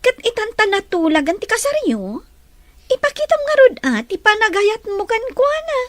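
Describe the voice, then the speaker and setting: A woman playing an expressive, teasing friend with an Ilocano accent. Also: extremely high pitch, moderate pace, background noise. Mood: advising. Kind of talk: audio drama acting.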